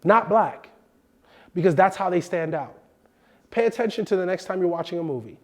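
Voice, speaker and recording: A man in his thirties hosting a film production lesson in a studio, animated and expressive, sounding a bit nasal from a cold. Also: high voice, normal speed. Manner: animated, expressive, gestures with hands. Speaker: host. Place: indoor studio set.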